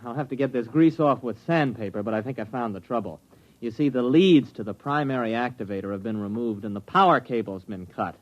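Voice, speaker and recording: A man playing an easygoing, practical spaceship crewman, talking in a casual, expressive way in an old-time radio drama. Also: mid-range voice, a little fast, dull audio, lightly acted. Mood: breezy.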